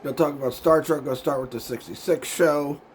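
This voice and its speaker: A man hosting his own video show, with a voice gone raspy and hoarse from a sinus cold, chatting in a loose, meandering way, losing his words and chuckling now and then. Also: on the high side, fairly even intonation, brisk, a little hiss or hum. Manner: laid-back and meandering, loses his words, chuckles often. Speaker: YouTube show host. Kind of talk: casual rambling vlog chat.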